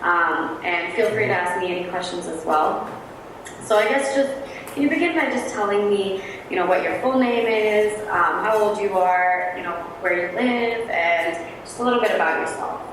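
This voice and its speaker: A woman's voice: mid-range voice, moderate pace, a bit bright. A woman interviewer asking questions in a friendly, chatty casual style, with frequent fillers. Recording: very echoey, distant, noisy room.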